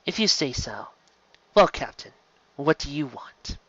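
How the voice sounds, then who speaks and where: A man voicing a mysterious woman interrogator in an audiobook, soft and teasing, with a hushed, slow, probing delivery. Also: on the high side, sing-song, breathy edge, dry recording, dull audio, heavily acted. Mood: softly teasing.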